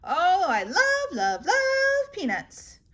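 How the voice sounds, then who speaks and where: A woman voicing an excitable, boisterous little city girl in a gushing, exclamatory way for a children's storybook. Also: very high voice, slow, pitched above the performer's own voice, full character voice. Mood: gleeful, eager.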